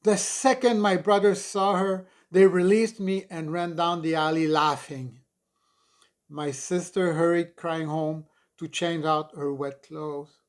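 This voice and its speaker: A man in his sixties from Montreal reading his memoir aloud in an expressive, deliberate, dramatic narrating style. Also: a little high. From Montreal, Canada. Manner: expressive, deliberate, emphatic storytelling. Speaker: author reading own memoir.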